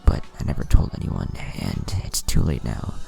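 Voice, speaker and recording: A man voicing a heroic village leader and young father, earnest, resolute and deliberate, in a story narration. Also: extremely low pitch, sing-song, creaky, warm tone, voice pushed down, heavily acted. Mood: worried.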